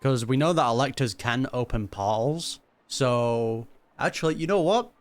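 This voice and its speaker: A man's voice: mid-range voice, lively intonation, normal speed. Wry, slangy male gaming commentator. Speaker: gaming content creator. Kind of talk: casual reaction commentary over gameplay.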